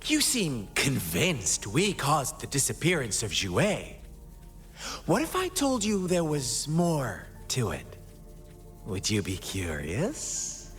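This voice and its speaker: Theatrical, slow-talking villain, swooping voice. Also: male voice, mid-range voice, huge pitch swings, a bit bright, big-room sound, faint music behind, full character voice. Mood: mocking. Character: sinister male cult overseer, theatrical, taunting, smugly courteous villain. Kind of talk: dramatic video game voice acting.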